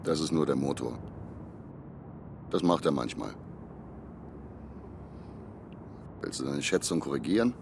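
An actor playing a gruff, grumbling barge skipper in a radio play, blunt and colloquial. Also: male voice, rumbling low, expressive, normal speed, heavily acted. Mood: dry, teasing. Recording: loud boat engine and water noise.

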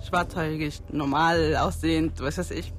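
A young man raised in Germany speaks in a somewhat high voice for a man. He talks casually and loosely, leaning on filler words as he answers interview questions. Music plays clearly beneath him. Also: fairly even intonation, moderate pace. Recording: music playing under the voice.

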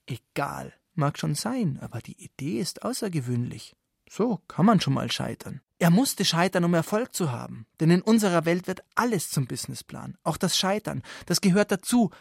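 Wry male radio host with creaky voice. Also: fairly high pitch, very animated, normal speed, no echo, studio quality. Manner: wry, ironic, rhetorical. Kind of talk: scripted satirical radio narration.

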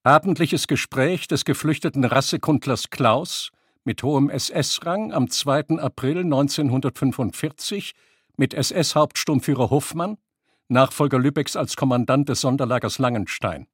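Husky, dry-sounding male narrator. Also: mid-range voice, measured pace, no echo. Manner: factual, clipped announcing of headings. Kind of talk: scripted documentary radio play narration.

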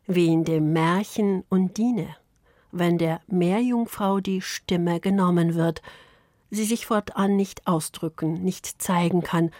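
Slow, soft-spoken Polish woman narrating. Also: fairly low pitch, no echo, clean studio sound. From Poland. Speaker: radio author and narrator. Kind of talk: scripted reflective narration.

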